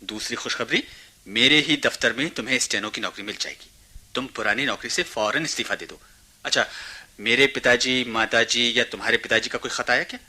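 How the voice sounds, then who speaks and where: A young suitor in a radio play. His medium-pitched voice is very bright and forceful, eager and chatty. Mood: eager, happy. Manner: brisk, talkative, upbeat. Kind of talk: Hindi radio play acting.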